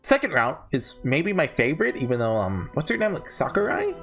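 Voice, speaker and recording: Animated, higher-pitched man rambling casually. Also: breathy edge, soft background music. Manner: rambling, halting, self-correcting. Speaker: video host ranking visual novels. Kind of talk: casual unscripted tier-list commentary.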